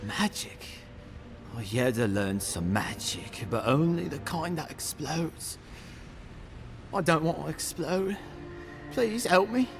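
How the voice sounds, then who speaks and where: A man's voice: on the high side, lively intonation, takes it slowly, pauses often, faint music behind. A man playing a frightened, naive, wounded young man in a video game, stammering and pleading. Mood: pleading, shaken.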